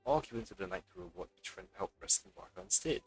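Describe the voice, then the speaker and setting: Animated man streaming, gravelly edge. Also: high-pitched, fast talker, soft background music. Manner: casual offhand remarks mixed with read-out dialogue. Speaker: game streamer. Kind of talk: live let's play, reading dialogue aloud and commenting.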